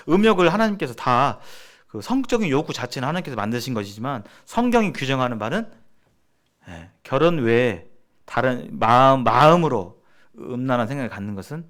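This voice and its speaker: A man's voice: mid-range voice, a bit bright. A man giving a sermon-like seminar lecture as a preacher, speaking emphatically and repeating phrases for stress. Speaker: preacher leading a church seminar.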